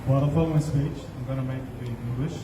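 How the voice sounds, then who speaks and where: A young man from Australia, reading a solemn, measured prepared speech at an outdoor rally. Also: medium pitch, steady tone, background noise. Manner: solemn, measured, read from notes. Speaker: rally speaker. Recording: distant, echoey, dull outdoor recording.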